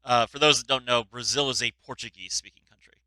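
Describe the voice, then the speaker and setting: A man hosts a relaxed, chatty interview in a very bright, sharp-edged voice. The sound is close and dry, with no sense of a room around him. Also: mid-range voice, fast, no room sound, rough recording. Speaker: interviewer, host. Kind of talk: casual chat.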